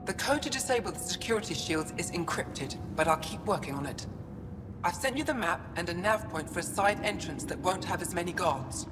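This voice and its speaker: A woman's voice: fairly low pitch, lively intonation, fairly quick, lightly acted. An actress playing a driven alien resistance woman who speaks with urgency. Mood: urgent. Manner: exasperated, urgent. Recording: echoey game audio with music.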